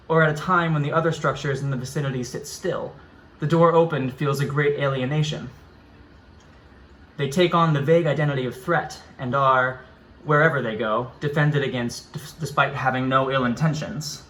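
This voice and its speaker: A man reading his own surreal prose in an even, deliberate, philosophical way. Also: mid-range voice, low-quality recording. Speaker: writer.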